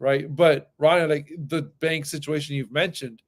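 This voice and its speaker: A man's voice: a little high, steady tone, moderate pace. Earnest man tagging lines with "right?". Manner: earnest, repeats himself, many "right?" tags. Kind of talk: casual discussion.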